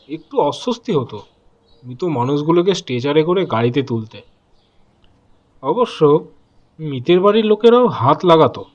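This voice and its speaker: A man narrating a horror story dramatically, in measured short phrases with pauses. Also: fairly high pitch, dull audio.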